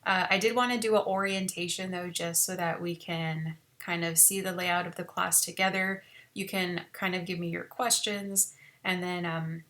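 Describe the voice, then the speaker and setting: A woman's voice: on the low side, moderate pace. Chatty woman instructor on a video call. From Rio Grande Valley, South Texas. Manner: relaxed, chatty, many fillers. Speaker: college biology instructor. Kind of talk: online class orientation.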